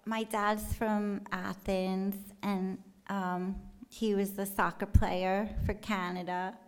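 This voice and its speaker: Slow-talking woman, offhand and rambling. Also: mid-range voice, little pitch movement, clean tone. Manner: rambling, offhand, colloquial, joking. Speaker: artist giving a talk about their work. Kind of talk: casual, unscripted artist talk with audience questions. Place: live talk in front of an audience.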